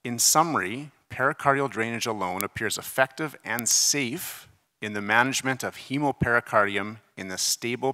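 A middle-aged man delivers a conference lecture through a podium mic in a reverberant hall. His voice is fairly low and forceful, with creak in it. He explains in a conversational, emphatic way, dropping in informal asides. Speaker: surgeon giving conference debate presentation. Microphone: podium microphone.

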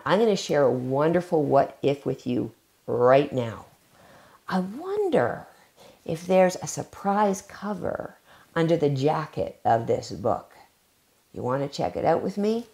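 A middle-aged woman speaks to children in a low, gravelly voice that swoops up and down with lively expression. She stretches key words out like a storytime reader while showing a craft. She sits in a home library, recorded by a camera set a little way off. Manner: warm storytime delivery, drawn-out emphasis. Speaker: children's book author. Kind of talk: reading aloud and craft demo for kids. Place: home library, seated in armchair before bookshelves.

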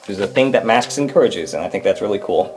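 A man's voice: lowish voice, animated, fast talker, darkish tone. A man narrating a tabletop roleplay podcast as its game master. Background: background music.

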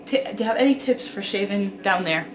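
A woman's voice: mid-range voice, brisk, background noise. A young Irish woman hosting a casual get-ready vlog, chatty and giggly, in a small bedroom. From Ireland. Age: young adult. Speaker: vlogger, host. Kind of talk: casual chat.

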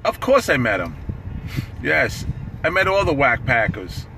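A middle-aged man with a raspy voice, chatting casually and profanely on a livestream from a parked car, phone held at arm's length. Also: medium pitch, very animated, measured pace, noisy background. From New York. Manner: loose, profane, chatty, laughing often. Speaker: livestream host.

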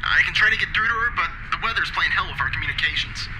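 Man acting a high-pitched radio operator, heavy noise. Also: expressive, quick pace. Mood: harried, clipped. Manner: clipped, official. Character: harried male survey base radio operator. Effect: radio transmission. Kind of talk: audio drama acting over radio. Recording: very noisy radio link.

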